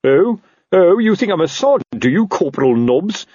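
A man's voice: mid-range voice, big pitch swings, normal speed, darkish tone, husky, no echo, highs cut off, pitched above the performer's own voice. Man playing a blustering, pompous old police captain. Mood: suspicious, snide. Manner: snapping, blustering, officious.

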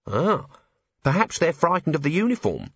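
A man's voice: on the high side, huge pitch swings, normal speed, a bit bright, breathy edge, no echo, lo-fi sound, pitched above the performer's own voice, heavily acted. A man voicing a timid, earnest young female dwarf officer in an audiobook, eager and deferential. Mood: shy, curious.

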